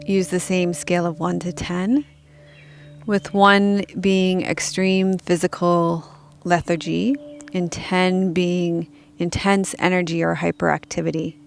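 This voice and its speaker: A woman leading a guided meditation as a teacher, speaking calmly and gently in measured instructions with pauses. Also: fairly low pitch, gravelly, a little hiss or hum.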